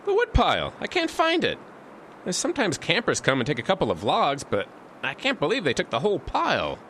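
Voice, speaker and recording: An actor playing a brooding, self-assured man in a radio drama, with a measured, poetic delivery. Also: a little high, huge pitch swings, a bit bright, slight background noise. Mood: flustered. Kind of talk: radio drama acting with narrated inner monologue.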